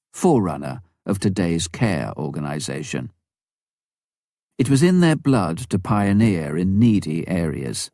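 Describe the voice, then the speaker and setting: A man with a low voice reads a memoir aloud as a scripted narration. His delivery is measured and deliberate, with pauses between phrases. The sound is dry, with very little room in it. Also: warm tone, dry recording. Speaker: audiobook narrator.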